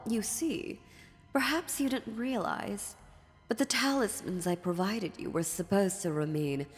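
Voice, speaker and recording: A woman playing an ancient, dominant demon in a fantasy audio roleplay, mocking and menacing, with a condescending, theatrical delivery. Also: medium pitch. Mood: veiled threat. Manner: slow, condescending, sardonic, theatrical. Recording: long reverberant hall, music underneath.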